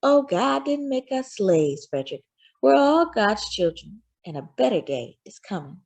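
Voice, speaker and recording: Loving, patient storybook mother, read by a woman, very expressive. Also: mid-range voice, measured pace, gravelly, voice pushed up, heavily acted. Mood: hushed, wistful. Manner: gentle, reassuring, expressive. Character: loving, patient enslaved mother comforting her young son. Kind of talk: children's storybook read-aloud.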